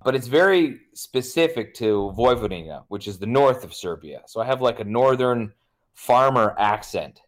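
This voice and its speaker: A man's voice: medium pitch, animated, moderate pace. A young American man, a guest in a casual podcast chat, rambling and swearing, with jokey acted-out quotes. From United States.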